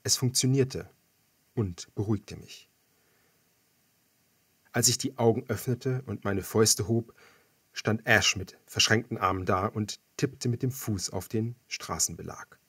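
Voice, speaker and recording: A man reading scripted first-person thriller narration as an audiobook narrator, measured and dramatic. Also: fairly low pitch, a bit bright, husky, no room sound, studio quality.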